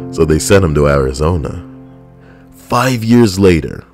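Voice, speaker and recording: A young man with a very low voice recounts a personal story in a blunt, conversational way, swearing freely. Soft background music plays faintly under his voice. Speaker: veteran storyteller.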